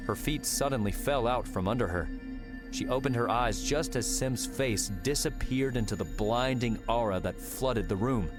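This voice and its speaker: A man's voice: medium pitch, normal speed. A man reading an audiobook in a dramatic storytelling style. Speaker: audiobook narrator. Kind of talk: dramatic scripted narration. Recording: music under the voice. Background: music.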